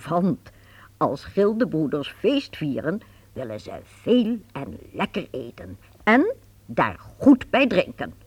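A narrator telling a radio play story in a lively, descriptive manner. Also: medium pitch, slow pace, husky.

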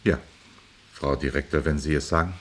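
An actor playing an obedient, hesitant, strong factory worker in a radio drama, deferential and halting. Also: male voice, very deep voice, steady tone. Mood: meek, puzzled.

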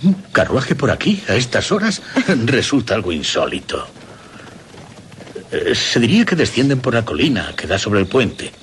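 A man's voice: mid-range voice, wildly swooping pitch, moderate pace, a bit bright, slight background noise. An actor playing a middle-aged, courtly aristocratic father in a Castilian Spanish radio drama, with formal, gallant diction. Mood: curious surprise. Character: courtly, kindly aristocratic father of a young woman.

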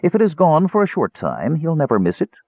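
Man playing male mage, storybook fantasy, cool, cunning, soft-spoken, raspy, commanding, thin radio-like band. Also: fairly high pitch, wildly swooping pitch, fairly quick, no echo, voice pushed up, exaggerated voice. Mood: soothing, persuasive. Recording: narrow tinny radio-like band.

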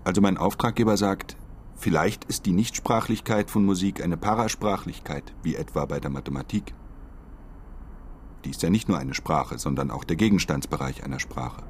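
An actor playing an earnest, long-winded envoy in a radio drama, speaking politely and articulately. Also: male voice, deep voice, moderate pace, a little hiss or hum, close to an everyday voice. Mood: earnest, explaining. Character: earnest, wordy male envoy pitching for a mysterious client.

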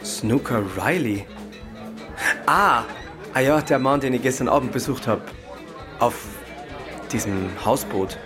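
An actor playing a bereaved, bitter husband, halting and intense, in a radio crime drama. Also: male voice, medium pitch, expressive, music in the background, crowd noise, close to an everyday voice. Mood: reserved.

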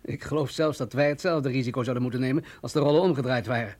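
Actor as a husky, anxious security chief. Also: male voice, medium pitch, quick pace, darkish tone, close to an everyday voice. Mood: explaining, tense. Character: anxious male security chief, wound tight, cynical strategist. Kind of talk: radio drama dialogue.

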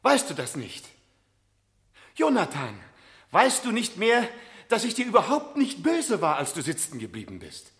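A man's voice: high-pitched, wildly swooping pitch, unhurried, fairly bright tone, full character voice. An actor playing an anxious, evasive middle-aged father in a 1970s radio play, hesitant, stammering and pleading. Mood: flustered, pleading.

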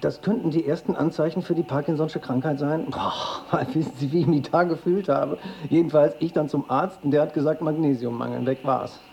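An actor playing a nervous hypochondriac office worker who rambles and hesitates, in naturalistic radio play style. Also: male voice, medium pitch, gravelly, dark tone, natural voice. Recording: slightly muffled with faint background noise.

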